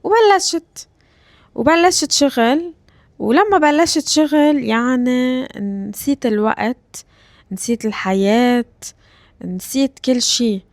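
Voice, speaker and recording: A woman in her thirties from Lebanon, with a Lebanese Arabic accent, hosting a language-learning podcast. She talks slowly and deliberately, repeats phrases and checks in with her listeners. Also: high voice, no room sound. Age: 30s. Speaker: Arabic teacher and podcast host. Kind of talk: slow, clear podcast lesson for learners.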